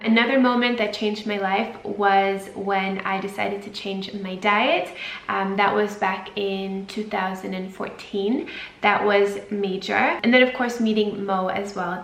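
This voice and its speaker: A woman's voice: medium pitch, echoey room. A woman in her thirties answering viewer questions in a chatty, reflective vlog, often saying "super" and "like". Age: 30s. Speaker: YouTuber. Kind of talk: casual Q&A vlog.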